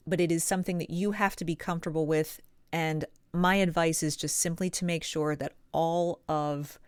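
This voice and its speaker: A woman with a slightly low, clean and resonant voice speaks as a coach hosting an advice talk. She is encouraging and puts firm emphasis on her points. The sound is close and very dry. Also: smooth, no echo. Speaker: voice-over coach and channel host. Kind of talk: instructional advice talk to viewers.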